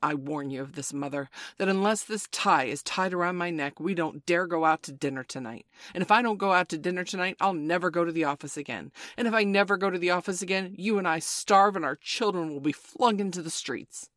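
A woman's voice: low voice, a little fast, dry recording, clean studio sound, lightly acted. Woman acting a blustering, pompous storybook father. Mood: stern, melodramatic. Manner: pompous, emphatic, theatrical. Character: blustering, sarcastic, melodramatic middle-class father. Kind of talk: storybook character voice.

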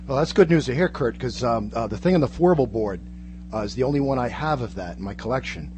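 A man chatting casually on a radio show as a co-host, halting but enthusiastic, like a keen fan. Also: medium pitch, expressive, darkish tone, a little hiss or hum. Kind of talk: casual radio interview chat.

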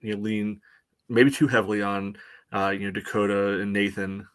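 Low, even-toned man talking soccer. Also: clear, resonant tone, dry recording. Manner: halting, peppered with "you know". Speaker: soccer podcast co-host. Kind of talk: casual sports analysis chat.

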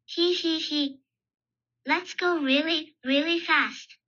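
Lady doing friendly little rabbit kid from a storybook, squeaky high n chirpy, kinda dull audio. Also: fairly even intonation, takes it slowly, sharp tone, exaggerated voice. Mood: excited. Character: Rebecca, excitable friendly little girl rabbit. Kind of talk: storybook character voice.

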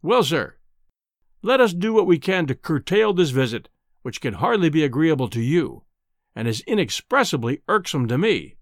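A man acts out a pompous, bullying man of science from a storybook. His contemptuous voice rumbles and roars with big swings of pitch, pitched fairly high and recorded very dry. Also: big pitch swings, moderate pace, no room sound. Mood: icy disdain. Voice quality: rumbling, roaring. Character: short, barrel-chested, furious, bullying, pompous male scientist. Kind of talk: dramatic audiobook character acting.

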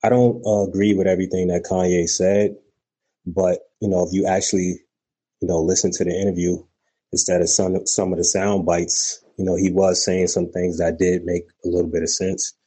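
A man's voice: sits low, level tone, moderate pace, mellow, breathy edge, rough recording. A man calling in to a talk show, speaking casually and rambling with many fillers. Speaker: caller.

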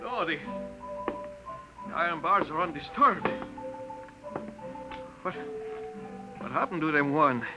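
An actor playing an excitable, opportunistic Mexican hotel manager, a man, in theatrical 1950s radio drama style. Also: a little high, slow pace, long pauses, rough recording. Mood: astonished. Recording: old radio recording with music.

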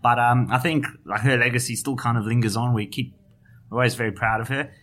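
A journalist with a South African accent, a guest on a podcast, talking in a thoughtful way with many restarts and fillers. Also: male voice, medium pitch, steady tone, fast talker, a bit bright. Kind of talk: podcast interview.

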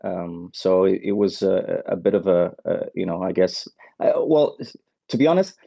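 A man from Zambia, a guest in a casual interview on a call, talking haltingly with many fillers and restarts. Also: deep voice, animated, vocal fry, warm tone, no echo. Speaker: guest, former footballer. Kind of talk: casual interview over phone. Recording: dull lo-fi phone-call audio.

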